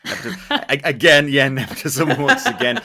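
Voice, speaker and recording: A man's voice: mid-range voice, very animated, normal speed, pushed and bright. A man hosting a casual interview, talking in a jokey, sweary way with bursts of mock outrage. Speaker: podcast host. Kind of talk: casual chat, interviewing guests.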